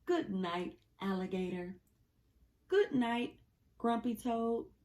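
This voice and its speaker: Lady doing a chill friendly boy cat from a kids book, slow talker, highish voice. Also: animated, darkish tone. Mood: sleepy, friendly. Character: laid-back cool cat, male, friendly, wanting sleep.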